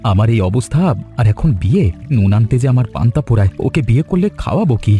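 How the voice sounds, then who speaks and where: Cartoon hero, acted by a man: humble young fisherman, earnest and plaintive, quick delivery, mellow tone. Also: medium pitch, big pitch swings, faint music behind, close to an everyday voice. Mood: sheepish. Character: humble, anxious young poor fisherman in love. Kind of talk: acted cartoon story dialogue.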